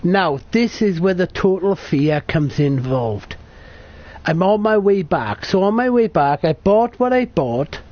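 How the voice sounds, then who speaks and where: British guy in his 30s, high voice, muffled lo-fi recording, chatty swearing storyteller. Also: lively intonation, measured pace. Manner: sweary, self-correcting, chatty. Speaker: vlogger. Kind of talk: rambling casual storytelling. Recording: dull lo-fi sound.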